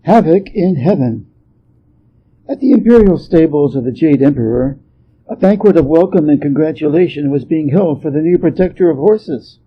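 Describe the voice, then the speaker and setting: A man's voice: on the high side, lively intonation, normal speed, slight background noise. A man reading scripted storybook narration, on a slightly muffled recording. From Hawaii, United States. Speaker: story reader.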